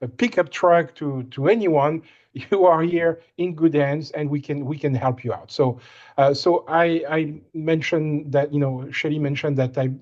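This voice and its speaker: French-accented guy on a video call, kinda high voice, lots of ums and restarts, dull muffled sound. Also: steady tone, unhurried, a little mellow. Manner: hesitant, many fillers and restarts. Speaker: winemaker. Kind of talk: casual presentation on a video call.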